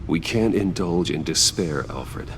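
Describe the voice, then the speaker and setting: An actor playing a brooding, resolute crime-fighter in a video game, talking in a measured, serious way. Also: male voice, low voice, expressive, slight background noise. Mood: focused, grave.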